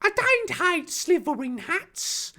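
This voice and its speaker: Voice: very high-pitched, big pitch swings, takes it slowly, sharp tone, pitched above the performer's own voice, exaggerated voice. A performer voicing an earnest, pious teenage boy hero in an indignant, declamatory manner. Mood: bold, pious.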